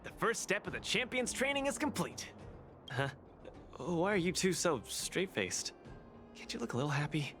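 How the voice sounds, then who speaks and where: A showy, good-natured young champion fighter in a game. He bounces high and animated through every boast. Loud game music plays under him. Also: moderate pace. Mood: boastful. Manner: boisterous, showy, upbeat. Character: boastful cheerful young male boxing champion. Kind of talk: video game voice acting.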